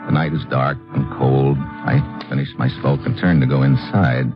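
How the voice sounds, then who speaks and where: An actor playing a tough, wry American agent in clipped, sardonic old radio detective style. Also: male voice, a bass voice, steady tone, moderate pace. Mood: tense, hardboiled. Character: tough, wry American male agent narrating his own adventure. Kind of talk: 1950s radio detective acting. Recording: muffled old radio recording with music.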